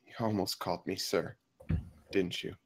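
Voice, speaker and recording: Injured trapped survivor, male, played by an actor at a table read: low gravelly voice, sardonic, stubbornly protective. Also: fairly even intonation. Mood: teasing. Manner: wry, blunt, halting through pain. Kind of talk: acted table read of a film script.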